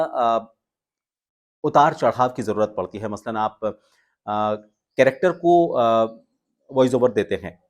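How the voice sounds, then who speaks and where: A man speaks as a voice coach teaching viewers. His voice sits at a medium pitch. He phrases things deliberately, setting key words apart with pauses in a measured, explanatory way. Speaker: voice training instructor. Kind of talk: instructional talk on voice modulation, presenting to viewers.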